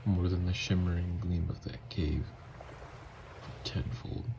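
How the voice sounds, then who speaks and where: A man playing a tormented, lovestruck young vampire in an intimate ASMR roleplay, speaking in a slow, halting, hushed murmur. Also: deep voice, level tone, vocal fry, background noise. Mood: tender, wistful. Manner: slow, halting, hushed confession with long pauses. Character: tormented, lovestruck newly turned male vampire, confessing tenderly. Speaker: ASMR voice actor.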